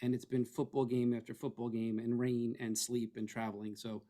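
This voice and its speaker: A middle-aged man with a hoarse, froggy voice, presenting an estate planning webinar in a conversational way that keeps checking in with "okay?". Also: medium pitch, level tone, moderate pace, mellow. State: hoarse, losing his voice.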